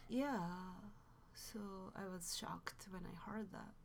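A woman with a Japanese accent, a native Japanese speaker, chats as a podcast guest. She tells her stories hesitantly and corrects herself along the way, her pitch sits in a middle range, and a faint ambient noise hums underneath. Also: takes it slowly, vocal fry. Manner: hesitant, self-correcting, soft asides. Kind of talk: casual conversational storytelling.

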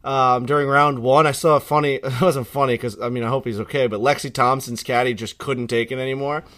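A man's voice: mid-range voice, fairly even intonation, a little fast, a bit bright. A man co-hosting a sports podcast, chatting in a loose, laid-back way full of dude and like. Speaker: podcast co-host. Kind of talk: casual sports chat.

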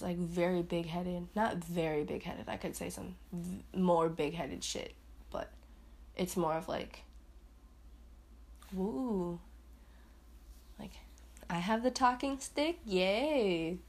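Rambling, self-mocking young woman, lowish voice. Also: slow pace, pauses often, a little mellow. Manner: chatty, rambling, laughs at herself; swings into high put-on voices. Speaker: podcast host. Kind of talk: casual solo podcast talk.

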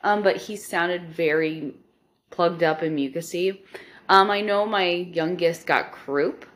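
Hoarse stuffy-nosed midwest mom type, kinda low voice, just rambling at her podcast folks. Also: takes it slowly, a bit bright. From Nebraska, US Midwest. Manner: rambling with many ums. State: hoarse, congested. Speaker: podcast host. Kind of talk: casual update monologue.

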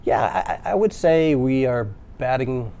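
A man's voice: medium pitch, lively intonation, a little slow, creaky, dark tone, clean tone. A man, a construction company president, speaking candidly in a panel discussion, often ending points with a questioning tag. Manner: candid, earnest, many fillers and tag questions.

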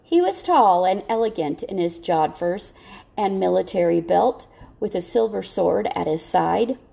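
Woman reading a story, gravelly creak. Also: fairly low pitch, lively intonation, measured pace, mellow. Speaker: audiobook reader. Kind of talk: scripted narration of a children's novel.

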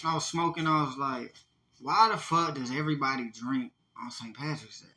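A man co-hosting a casual podcast, talking in loose, slangy, profane jokes while high. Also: moderate pace, pushed and bright, echoey room. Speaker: podcast co-host.

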